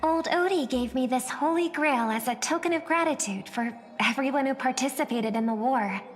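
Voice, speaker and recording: An actress playing a gentle, gracious young female star, speaking politely and composedly as a game character, in a reverberant recording. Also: sits high, normal speed, soft background music, close to an everyday voice. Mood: reflective. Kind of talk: scripted game character dialogue.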